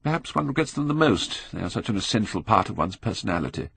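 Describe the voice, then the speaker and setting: Man as a drawling, deep-voiced British aristocrat. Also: sing-song, fast talker, vocal fry, fairly bright tone, husky, exaggerated voice. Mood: wistful, wry. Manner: drawling, epigrammatic, blasé. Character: languid, witty, cynical Victorian aristocrat, older gentleman.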